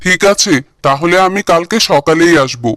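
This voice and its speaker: Man voicing a polite, fairly high-voiced village matchmaker. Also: animated, measured pace, a bit bright. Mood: polite, curious. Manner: polite, inquisitive. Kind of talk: Bengali cartoon dubbing.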